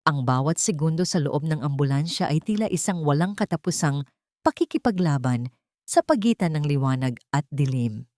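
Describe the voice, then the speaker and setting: A woman narrates a scripted dramatic story in a solemn, theatrical manner with measured phrasing. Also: on the low side, darkish tone, no echo, clean studio sound.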